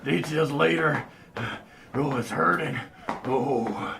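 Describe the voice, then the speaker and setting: A cocky, clueless space misfit played by a man in a comic sci-fi radio play. He speaks slowly at an ordinary male pitch, blurting self-importantly, over clearly audible noise and clicks. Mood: pained. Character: cocky, clueless space refugee who talks in third person.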